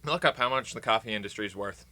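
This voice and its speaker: A man's voice: on the low side, quick pace, heavy background noise. An American man vlogging in casual, rambling chat to camera, playful and tongue-in-cheek with dramatic emphasis.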